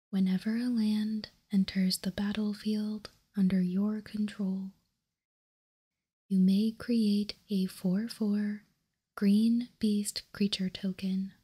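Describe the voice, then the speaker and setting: Softly whispering woman, slow and breathy. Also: mid-range voice. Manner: soft, slow, measured, calming. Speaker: host of a card ASMR channel. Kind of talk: ASMR, reading and commenting on trading cards.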